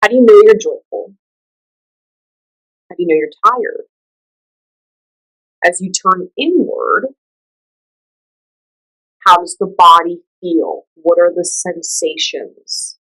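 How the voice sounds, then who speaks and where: A woman leading a guided meditation, giving gentle instructions in a soothing, unhurried and conversational way. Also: lowish voice, rough recording.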